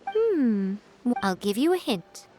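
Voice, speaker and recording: A woman's voice: on the high side, very animated, slow pace, mellow, soft background music. A woman voicing a sly, self-assured fox spirit shrine priestess in a video game, poised, teasing and condescending. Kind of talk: video game character voice acting. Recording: slightly noisy game capture.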